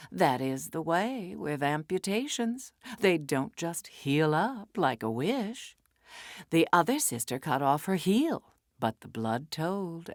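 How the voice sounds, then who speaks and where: Brief: woman reader, wry and sardonic, slow deliberate delivery, lower voice with wide swings, dry close sound. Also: dry recording. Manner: sardonic, wry, theatrical with dips to whispers. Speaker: reader of a poem. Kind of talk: dramatic poetry reading.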